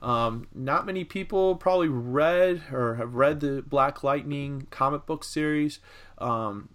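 A man co-hosting a casual entertainment news podcast, speaking in a measured, halting way with pauses between phrases. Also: on the high side, a bit bright. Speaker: podcast co-host.

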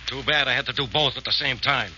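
An actor playing a gruff, easily exasperated police inspector, blunt and indignant, with an American accent in 1940s radio drama style. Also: male voice, mid-range voice, fast talker, sharp tone. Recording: muffled, noisy old radio recording.

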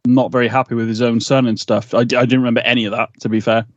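A man's voice: mid-range voice, level tone, racing, no echo. A man co-hosting a podcast in casual chat, laid-back and hesitant, recorded on a muffled lo-fi mic. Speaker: podcast co-host.

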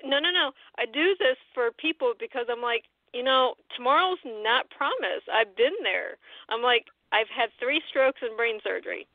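A woman hosting an interview in casual chat, friendly, at times pausing to search for words. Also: fairly high pitch, expressive, no echo. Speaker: host. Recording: dry tinny phone line.

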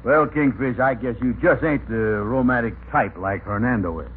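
A man playing an easygoing, slow-witted sidekick in radio comedy, talking in folksy nonstandard grammar. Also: medium pitch, close to an everyday voice. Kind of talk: 1950s radio comedy acting. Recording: noisy, narrow-band old radio recording.

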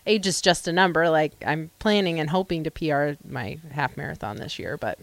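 Low-voiced woman in her forties. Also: a little fast, no echo. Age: 40s. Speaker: co-host. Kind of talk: casual interview chat.

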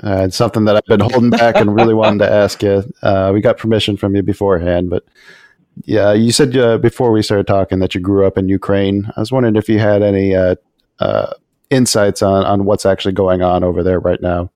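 A man's voice: lowish voice, steady tone, brisk, a little mellow, no echo, clean studio sound. A man hosting a podcast, putting questions to his guest in an easygoing, lightly teasing way. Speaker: podcast host. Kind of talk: casual interview chat.

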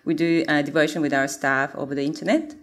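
A woman presenting her mission work, speaking earnestly with many pauses and fillers. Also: low voice, fairly even intonation.

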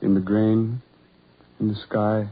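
An actor playing a lonely, self-loathing ugly farm labourer in a 1940s radio horror drama, with halting, repetitive, brooding delivery. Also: male voice, lowish voice, little pitch movement, slightly rough. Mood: obsessive, despairing. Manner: halting, repetitive, brooding, building to anguished outbursts. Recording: muffled, tinny 1940s radio recording.

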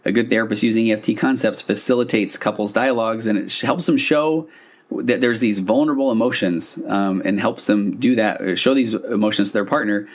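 A man's voice: fairly low pitch, brisk. A man hosting a podcast, talking in a casual, explanatory, conversational monologue. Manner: conversational, acting out example dialogue lines. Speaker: podcast host.

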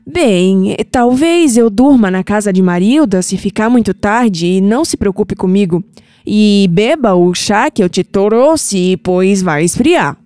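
Kindly old Brazilian grandmother played by a woman, slow and mellow, audio drama with music bed. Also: medium pitch, expressive, no room sound, clean studio sound, lightly acted. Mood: tender, calm. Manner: tender, reassuring, unhurried. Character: kindly old grandmother who reads cards. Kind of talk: scripted audio drama acting.

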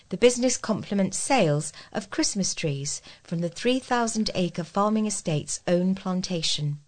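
A woman reads local news articles aloud from a script. Her voice sits slightly lower than most women's, and she delivers the items as a volunteer newsreader. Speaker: volunteer newspaper reader.

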